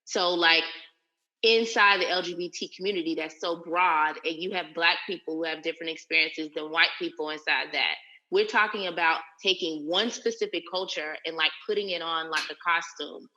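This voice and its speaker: Woman, podcast co-host, casual discussion, bright forceful voice, slightly low pitch, dull lo-fi sound. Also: normal speed. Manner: earnest, explains in long run-on sentences with many "like"s. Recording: dull lo-fi recording.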